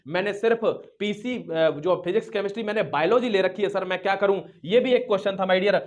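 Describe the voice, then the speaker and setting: A man with a North Indian accent lecturing students informally as an exam coach, emphatically repeating points as rhetorical questions and mixing in English words. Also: high voice.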